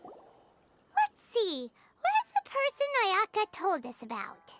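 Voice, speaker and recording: A tiny childlike fairy companion from a video game. The voice is extremely high and squeaky, bright and wildly animated. She is blunt, curious and exclamatory. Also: takes it slowly, faint music behind, heavily acted. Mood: curious, chatty. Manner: refers to herself by name, exclamatory.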